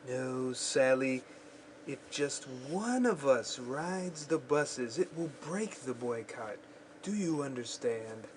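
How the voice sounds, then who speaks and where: A man playing a calm, patient father in a school radio drama, speaking deliberately and explaining things. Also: medium pitch. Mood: firm. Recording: faint background noise, home recording.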